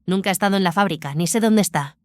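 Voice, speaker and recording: An actress playing a guarded, sceptical receptionist in an audio drama, reserved and questioning. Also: female voice, fairly low pitch, quick pace, dry recording, lightly acted.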